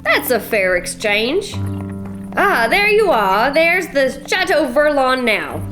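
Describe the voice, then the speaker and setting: A loud, folksy, middle-aged ranch widow, played by a woman, giving orders without ceremony. Her voice sits a little high for a woman, and faint music plays beneath it in this radio play. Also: very animated, slow, exaggerated voice. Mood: bossy, folksy. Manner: bossy, folksy, blunt.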